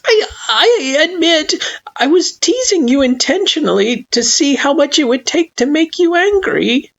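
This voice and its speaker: A nervous, earnest young child in a fan story reading, voice lifted high and stammering as it pleads. The sound is dull and lo-fi. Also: female voice, very animated, unhurried, exaggerated voice. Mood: pleading. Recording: dull lo-fi recording.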